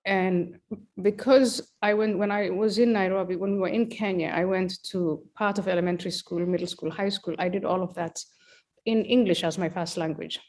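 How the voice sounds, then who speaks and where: A middle-aged woman from Somalia, a podcast guest telling her story in a measured, reflective way. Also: fairly low pitch, fairly even intonation, darkish tone. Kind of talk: interview conversation.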